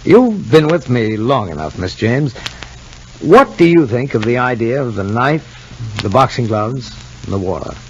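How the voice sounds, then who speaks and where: A man playing a calm, probing child psychologist in a measured, hesitant way in a 1950s radio soap. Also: mid-range voice. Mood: businesslike. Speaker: actor in a radio drama. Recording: dull, faintly noisy old radio recording.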